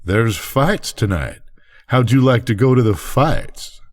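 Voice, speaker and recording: An easygoing rancher husband from a bedtime story, played by an older man reading aloud. He talks in a folksy, teasing way with very lively swings of pitch. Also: slow. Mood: joking, easygoing.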